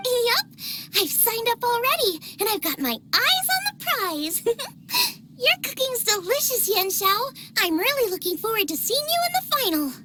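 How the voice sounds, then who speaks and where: A woman voicing an eager, expressive young girl companion who loves food in a video game. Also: very high-pitched, a little slow, heavily acted. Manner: exclamatory, expressive.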